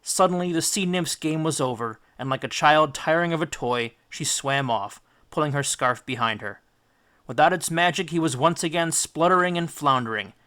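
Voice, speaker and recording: A man reading an audiobook as dramatic, scripted storybook narration, with deliberate, theatrical phrasing. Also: medium pitch, sharp tone. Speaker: audiobook reader.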